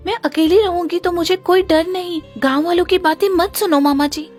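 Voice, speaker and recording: A brave young shepherd girl from a cartoon story, voiced by a woman, gentle yet determined. Her voice is very high, with soft music underneath. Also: steady tone, normal speed. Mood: firm. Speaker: voice actor.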